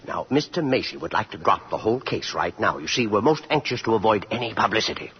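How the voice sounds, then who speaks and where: A timid, fussy store official in an old radio play, husky and breathy, stammering and flustered, his pitch jumping up and down. The broadcast sounds muffled and lo-fi with faint music behind. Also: very animated, fast talker, heavily acted. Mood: fawning. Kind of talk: 1940s radio acting.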